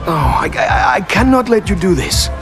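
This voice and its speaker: An actor playing an earnest, anxious young racer in debt, hesitant and pleading, in a game cutscene. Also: male voice, high-pitched, sing-song, normal speed, over music. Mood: alarmed, pleading. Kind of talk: video game cutscene acting.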